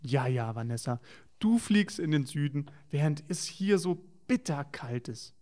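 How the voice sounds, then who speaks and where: A man's voice: a little high, lively intonation, unhurried, dark tone. A man co-hosting a children's show, talking in a playful, wondering way to children in a scripted dialogue.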